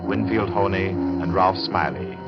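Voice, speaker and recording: A low-pitched man announces over loud music on a muffled old radio broadcast. His scripted delivery is formal, measured and dramatic, in the 1940s manner.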